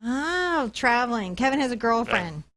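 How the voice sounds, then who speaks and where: A woman's voice: a little high, big pitch swings, normal speed, fairly bright tone. A woman chatting casually and playfully as the host of an art tutorial livestream, thanking supporters.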